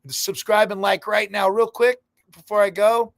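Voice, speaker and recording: A man hosts a casual live sports podcast from a backyard setup, keeping the talk loose and joking. His voice sits fairly high. The sound is dry and close. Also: level tone, normal speed, vocal fry, no echo. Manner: loose, joking sign-off banter. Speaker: podcast host. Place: backyard studio.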